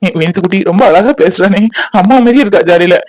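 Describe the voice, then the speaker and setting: An actor playing an affectionate younger brother who talks earnestly and reassuringly in a family drama. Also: male voice, high voice, fast talker, darkish tone, rough recording. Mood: fond. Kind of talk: web serial drama acting.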